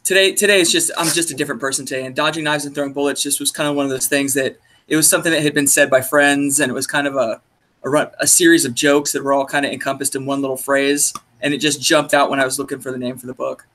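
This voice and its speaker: A man's voice: mid-range voice, steady tone, fast, bright, forceful tone. A man appearing as a guest on a casual podcast, talking hesitantly with many restarts and some swearing. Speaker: podcast guest, book author.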